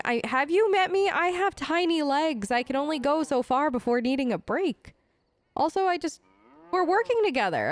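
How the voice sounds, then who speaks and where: High-voiced, chatty woman gamer. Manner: chatty, hesitant, conversational. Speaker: gaming host, role-playing as player character. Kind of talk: casual improvised role-play let's play commentary. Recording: game music under voice. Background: game music.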